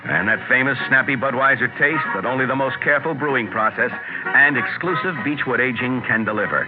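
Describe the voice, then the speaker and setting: A man's voice: medium pitch, a little fast. A man reading a 1970s radio commercial as a polished announcer, savoring and stressing his phrases. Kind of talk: 1970s radio beer ad read. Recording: narrow-band vintage radio, loud music behind.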